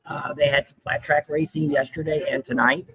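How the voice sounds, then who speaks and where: Slightly hoarse man rambling on a livestream. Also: fairly high pitch, level tone, fairly quick, a bit bright, muffled recording. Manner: rambling, with frequent "uh" fillers. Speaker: livestream host. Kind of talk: casual live chat. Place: restaurant at a motorcycle rally.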